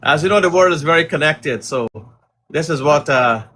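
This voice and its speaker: A middle-aged man from Guyana hosting a casual livestream as a community leader, talking in a halting way with many fillers. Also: a little high, bright, forceful tone.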